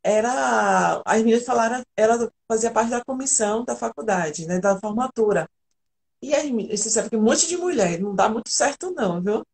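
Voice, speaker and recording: A woman's voice: a little high, expressive, moderate pace, gravelly, darkish tone. A woman from Argentina, an integrative therapist, telling her personal story earnestly and candidly as a guest on a live video call.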